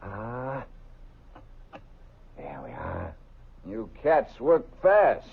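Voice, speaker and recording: A droll, husky photographer sidekick. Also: male voice, on the low side, very animated, extremely slow, exaggerated voice. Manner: droll, wry, slangy. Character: dry-witted male photographer sidekick. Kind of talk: 1950s radio drama acting. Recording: thin, tinny old radio recording.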